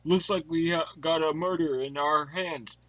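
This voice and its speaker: A man's voice: a little high, fairly even intonation, unhurried, noisy background, close to an everyday voice. A man playing a formal, earnest butler who is really an undercover detective in an amateur audio mystery. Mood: businesslike. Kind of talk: fan audio drama acting.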